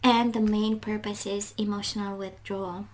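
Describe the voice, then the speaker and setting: A woman giving a casual tarot love reading straight to the viewer, halting and reflective, repeating phrases for emphasis. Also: medium pitch, level tone. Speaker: tarot reader.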